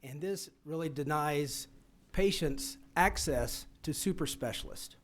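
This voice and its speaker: A male doctor giving testimony to a state committee, his voice sitting a little high for a man. He talks haltingly, full of ums and restarts as he makes his case. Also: slight background noise. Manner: hesitant, many fillers and restarts. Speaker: physician testifying. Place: legislative committee room.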